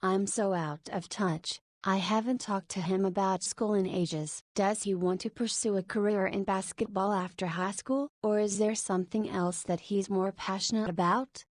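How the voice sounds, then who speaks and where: A woman's voice: mid-range voice, a little mellow, no room sound, lightly acted. Woman doing a worried 40ish mom talking to herself, nothing showy, dead dry sound. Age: 40s. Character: anxious possessive single mother, 40. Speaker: character voice in a narrated visual novel. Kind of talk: scripted visual novel voiceover.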